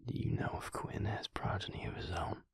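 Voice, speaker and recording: An actor playing a protective, earnest vampire lover in an intimate audio roleplay, speaking in a breathy, husky whisper with a soothing, folksy drawl. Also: male voice, normal speed, lightly acted. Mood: somber, confiding.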